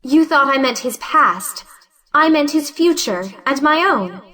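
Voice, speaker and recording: High, bright, defiant young lady, played by a woman. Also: animated, a little slow, heavily acted. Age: young adult. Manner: earnest, plaintive, articulate. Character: defiant, frank young unmarried woman of means. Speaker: actress in an audio play. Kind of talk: theatrical audio drama acting of a 1917 play.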